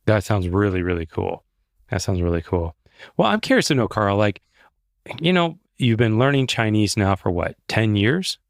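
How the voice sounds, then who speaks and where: A male podcast host with a low-pitched voice asks curious, easygoing questions and reacts often with quick remarks. The sound is dry and close to the mic. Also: very animated, creaky, no echo. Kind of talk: casual interview.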